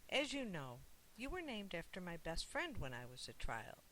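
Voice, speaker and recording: Reassuring storybook mother, played by the reader: gentle, bright tone, faint background hiss. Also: medium pitch, wildly swooping pitch, normal speed, low-quality recording.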